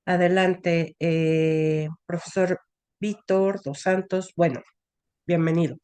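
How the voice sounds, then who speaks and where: A woman moderates an academic online seminar. She speaks very slowly in a somewhat low voice, pausing often on "eh" and "este". The sound is dry and close, with no room echo. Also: fairly even intonation, a little mellow, clear, resonant tone. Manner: unhurried, hesitant with "eh" and "este" fillers. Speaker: session moderator.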